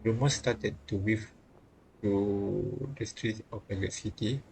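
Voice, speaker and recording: A man's voice: lowish voice, steady tone, slow pace, vocal fry, a little mellow, slight background noise. A man streaming a visual novel, reading the game text aloud and often stumbling and rereading phrases.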